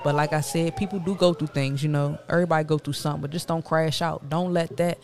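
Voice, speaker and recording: Woman, late twenties, rapid delivery, street slang, emphatic repetition, candid interview guest. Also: sits low, steady tone, a little mellow. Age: late 20s, turning 30. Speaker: podcast guest, rapper. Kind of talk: candid casual interview talk.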